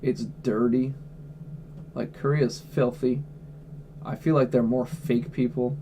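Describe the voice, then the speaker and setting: Male streamer at home, casual and blunt, mellow tone, faint background noise. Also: medium pitch, fairly even intonation, slow pace. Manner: blunt, offhand, swears freely. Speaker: livestreamer answering chat questions. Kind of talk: casual livestream chat. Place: at home indoors.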